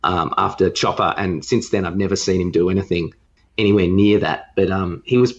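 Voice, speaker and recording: A man with a fairly low voice chats as a podcast co-host. He rambles through his opinions in an informal, opinionated way. The recording is a little dull and muffled. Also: steady tone, a little fast. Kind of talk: casual film review chat.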